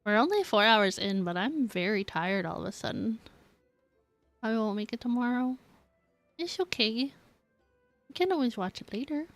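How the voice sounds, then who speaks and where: A woman's voice: fairly high pitch, lively intonation, moderate pace, long pauses, soft background music. A woman streamer chatting casually with viewers while she plays a video game. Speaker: live streamer playing a game. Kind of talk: casual gaming stream chat.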